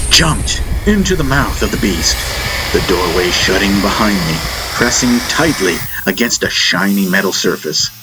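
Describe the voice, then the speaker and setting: A man reading a dramatic first-person audiobook story in deliberate, theatrical phrases with weighty pauses. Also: on the low side, background noise. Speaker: audiobook narrator. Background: atmospheric music soundtrack.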